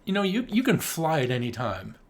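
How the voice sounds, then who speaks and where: Slangy higher-voiced male podcaster. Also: huge pitch swings, a little fast. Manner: slangy, joking, sweary. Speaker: podcast co-host. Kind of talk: casual film review chat.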